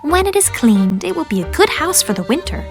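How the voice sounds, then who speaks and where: A woman voicing a gentle, house-proud little female squirrel in a children's storybook, sweet, homely and exclamatory. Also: high-pitched, very animated, a little fast, over music, voice pushed up. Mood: hopeful.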